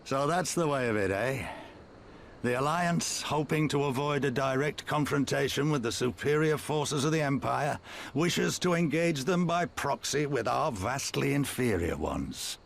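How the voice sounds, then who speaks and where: An actor playing a blunt older resistance commander in a video game, weary and proud, with measured, folksy speech. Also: male voice, mid-range voice, expressive, vocal fry, slight background noise. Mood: wry, skeptical. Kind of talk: video game cutscene acting.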